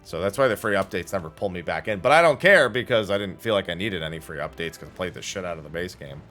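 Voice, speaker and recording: A man's voice: deep voice, animated, fast, fairly bright tone, faint music behind. A man livestreaming casual gaming chat in a blunt, opinionated way on a close microphone.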